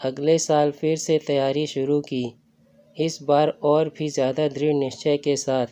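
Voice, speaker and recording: Slow, flat-toned male storyteller, slightly high voice. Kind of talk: scripted story narration. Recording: dull lo-fi recording.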